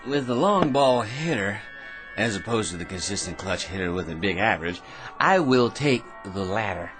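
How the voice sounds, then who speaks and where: A man's voice: fairly low pitch, animated, normal speed, over music, close to an everyday voice. An actor playing an eager, self-important American office bore who rambles and laughs at his own remarks in a radio drama. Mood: smug, insistent.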